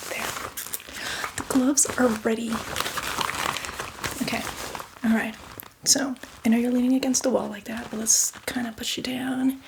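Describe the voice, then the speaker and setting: A whispering female zombie, played by a woman in an ASMR roleplay, with a fairly high voice over clearly audible background noise. She rambles casually and reassuringly, fussy and apologetic yet eager for blood. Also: steady tone, unhurried, pauses often.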